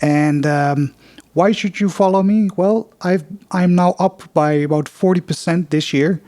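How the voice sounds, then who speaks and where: A man's voice: high-pitched, unhurried, mellow, dry recording. A man with a Dutch accent hosting a loose, unpolished podcast, talking through charts with many fillers and restarts. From Netherlands. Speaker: podcast host. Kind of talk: casual investing podcast with chart walkthrough.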